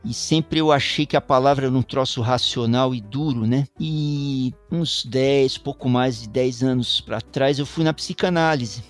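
A man's voice: medium pitch, unhurried, few pauses, soft background music. A middle-aged man from São Paulo with a Paulistano Portuguese accent, talking in a thoughtful, meandering way as a guest musician, full of restarts and tag questions. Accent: Paulistano Brazilian Portuguese. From São Paulo, Brazil. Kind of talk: reflective interview talk.

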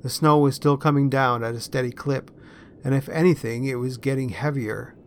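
A man's voice: mid-range voice, moderate pace, slight background noise. A man reading a story aloud as scripted, dramatised narration. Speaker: guest story reader. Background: music and added sound effects.

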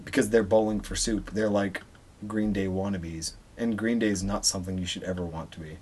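A man's voice: fairly low pitch, steady tone, a little fast, darkish tone, breathy edge. A man co-hosting a podcast in casual, digressive chat, swearing offhandedly as he rambles. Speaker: podcast co-host.

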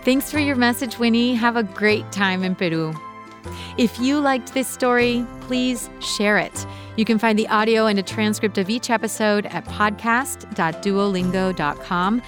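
A woman hosting a podcast, reading a scripted story in a measured, clear storytelling voice. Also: on the high side, faint music behind. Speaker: podcast host and narrator. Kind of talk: scripted narration.

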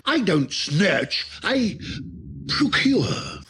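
A man voicing a small, haughty, sly alien ruler in a story, with creaky, drawn-out delivery. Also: high-pitched, wildly swooping pitch, background noise, pitched above the performer's own voice, exaggerated voice. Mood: haughty, indignant.

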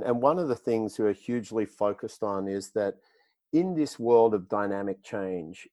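A man's voice: lowish voice, darkish tone, no room sound. A man with an Australian accent, answering questions as a tech company executive, often restarting and repeating words. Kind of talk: podcast interview answers.

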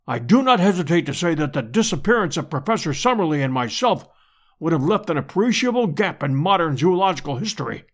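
A man's voice: fairly high pitch, sing-song, a little fast. A man voicing a pompous, easily offended professor in an adventure audiobook, with orotund, formal diction. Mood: pompous, grateful. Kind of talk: dramatised audiobook character reading.

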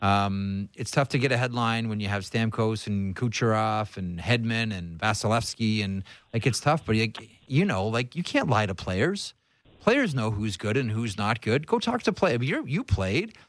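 A man's voice: on the low side, lively intonation, normal speed, no echo. A man hosting a sports talk show, giving conversational analysis emphatically and repeating phrases for stress.

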